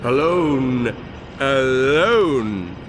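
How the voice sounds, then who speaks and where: An actor playing a goofy, self-important space hero captain in a video game, bombastic and mock-heroic. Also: male voice, mid-range voice, animated, very slow, roomy sound, in a large hall, background noise. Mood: grandiose.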